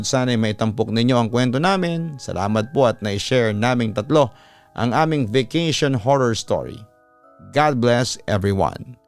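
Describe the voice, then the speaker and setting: Male radio storyteller, smooth and clear, mid pitch, dramatic horror narration over soft music.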